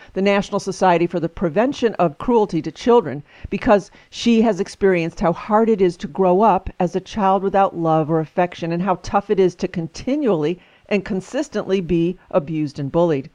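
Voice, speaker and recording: A woman's voice: lowish voice, moderate pace, hardly any pauses, darkish tone, no echo. A woman hosting an interview, talking enthusiastically and laughing often as she introduces and questions her guest. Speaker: podcast host. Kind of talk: interview conversation.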